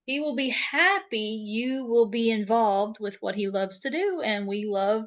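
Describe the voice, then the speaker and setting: A woman's voice: fairly high pitch, animated, unhurried, lo-fi sound. A woman co-hosting a casual livestream, chatty and informal, reading out introductions with playful asides. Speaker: co-host.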